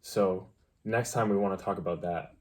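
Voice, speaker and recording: A man's voice: low voice, normal speed. A young adult man co-hosting a vlog, telling a story casually in a laid-back, plain-spoken way. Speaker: vlog co-host.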